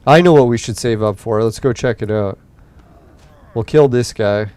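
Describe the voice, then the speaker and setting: A man's voice: mid-range voice, moderate pace, darkish tone, smooth, no room sound. A young man hosting a gaming podcast, rambling casually and swearing while he plays, his voice tiring late at night. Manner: rambling, profane, laid-back. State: voice getting tired late at night. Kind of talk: casual gameplay commentary.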